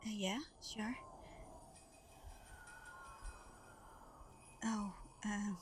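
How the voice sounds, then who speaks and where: Woman to play Santa's grown daughter: gentle, shy, affectionate, hushed ASMR delivery with even intonation, over noticeable background ambience. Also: medium pitch, slow pace, close to an everyday voice. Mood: tender, reflective. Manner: soft, tender, hesitant, partly whispered. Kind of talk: intimate ASMR roleplay, soft-spoken and breathy. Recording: clearly noisy background ambience.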